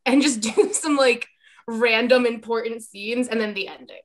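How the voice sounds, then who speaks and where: A woman's voice: fairly high pitch, moderate pace, a crowd in the background. A woman chatting casually as a member of a script reading group. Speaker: script club participant. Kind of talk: casual chat.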